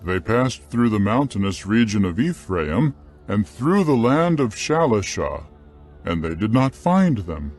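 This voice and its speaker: A man narrating scripture from a script in a solemn, measured, carefully phrased dramatic style. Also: lowish voice, sing-song, darkish tone.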